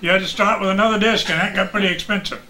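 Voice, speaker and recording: A man's voice: high voice, steady tone, very fast, bright, forceful tone. An older man, a radio veteran, casually reminiscing among friends. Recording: clear background noise.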